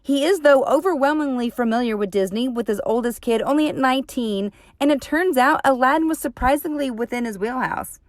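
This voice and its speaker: A woman's voice: sits high, fairly quick, hardly any pauses, dry recording, clean studio sound. A woman hosting and reading scripted narration in an upbeat presenting tone. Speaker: host.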